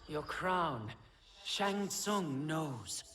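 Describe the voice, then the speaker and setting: A woman's voice: sits low, animated, drawn-out words, music in the background, full character voice. A woman playing a serene, fearless goddess in a video game, speaking solemnly amid heavy background noise. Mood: calm. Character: serene, fearless elder goddess, dutiful to mother. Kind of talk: video game cutscene acting.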